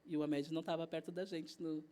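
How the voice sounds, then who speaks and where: Warm-voiced southern Brazilian woman. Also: low-pitched, flat delivery, fast. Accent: southern Brazilian Portuguese. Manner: chatty, retells events by acting out dialogue. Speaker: guest chef. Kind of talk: casual interview storytelling.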